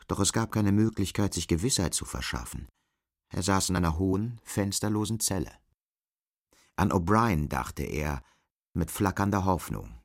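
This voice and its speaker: A man reading scripted literary narration as the narrator of a German radio play. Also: low-pitched.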